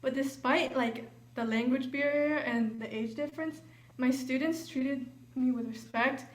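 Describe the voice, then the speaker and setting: A woman's voice: high voice, a little slow. Chatty young woman presenting. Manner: chatty, uses filler like and um. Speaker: volunteer teacher. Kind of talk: casual presentation. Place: hotel event room.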